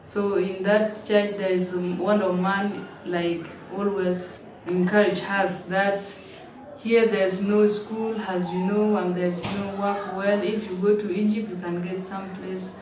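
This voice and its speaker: A woman, telling her story in halting, simple English with frequent restarts. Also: medium pitch, little pitch movement, darkish tone. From South Sudan. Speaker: interviewee, refugee. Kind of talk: personal testimony in an interview. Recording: roomy, distant mic, noisy ambience.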